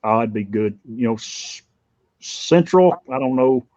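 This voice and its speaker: A man's voice: medium pitch, expressive, measured pace, a little mellow, dry recording. A man in his fifties chatting casually as a guest in a group conversation. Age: 50s. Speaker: livestream guest. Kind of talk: casual chat. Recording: dull lo-fi dry sound.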